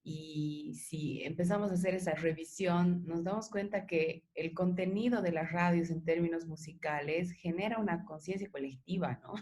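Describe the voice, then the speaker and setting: A woman with a Latin American accent speaks in a slightly low voice. As the interviewer, she comments in a reflective, analytical manner while keeping it conversational. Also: a little mellow.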